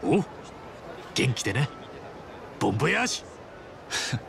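A man voicing a loyal, big-hearted middle-aged ex-yakuza hero with blunt, rough street speech in a video game. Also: mid-range voice, very animated, moderate pace, noisy background. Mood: friendly. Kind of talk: Japanese video game voice acting.

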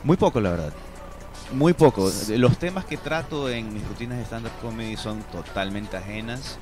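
A man's voice: mid-range voice, animated, moderate pace, over music. A man in his thirties chatting as a guest on a radio show, explaining things in a conversational way. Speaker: stand-up comedian. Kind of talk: conversational interview talk. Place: small radio studio. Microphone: studio microphone on boom arm.

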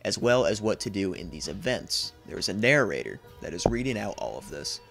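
A young man reads a scripted recap in a low voice with a creaky edge. He sounds like a video essayist, with faint music behind him. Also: big pitch swings, brisk, fairly bright tone. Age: 20s. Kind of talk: scripted narration, horror series recap. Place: small indoor room.

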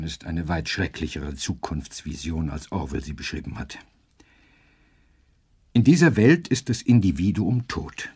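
An actor playing an earnest orator in a radio drama, speaking in a deliberate, rhetorical and emphatic way with a husky voice. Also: male voice, low voice, darkish tone, heavily acted. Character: earnest male orator warning radio listeners about trees and air. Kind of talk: radio drama monologue, solemn public address.

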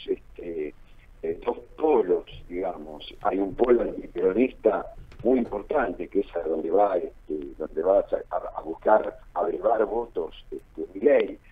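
Deep-voiced Argentine man on phone-like line. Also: slightly rough. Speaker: political analyst being interviewed. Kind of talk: radio interview analysis. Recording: narrow phone-like line, muffled.

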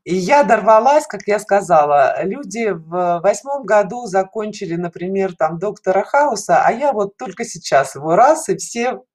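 A woman's voice: medium pitch, animated, moderate pace, hardly any pauses, darkish tone. A middle-aged woman chatting casually as a guest on an online show, with a self-ironic, giggly manner. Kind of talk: casual conversational interview. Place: indoor room, on a video call. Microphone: headset.

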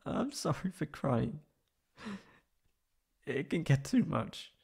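A man voicing a wounded, anxious young catboy in an emotional ASMR roleplay, halting and stammering tearfully. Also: on the high side, sing-song, creaky, a little mellow, slightly rough, full character voice. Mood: tearful, hesitant.

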